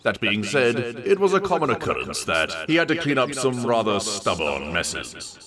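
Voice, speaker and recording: A man reading a comic story aloud in a theatrical, expressive narration with wry delivery. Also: lowish voice, moderate pace, recorded from a distance, a crowd in the background. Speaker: story reader.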